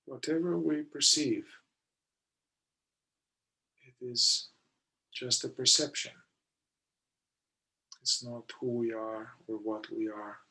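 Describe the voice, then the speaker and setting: A man leading a guided meditation in short, deliberate phrases with long pauses between them. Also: mid-range voice, fairly even intonation, sharp tone, low-quality recording. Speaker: meditation guide, somatic therapist.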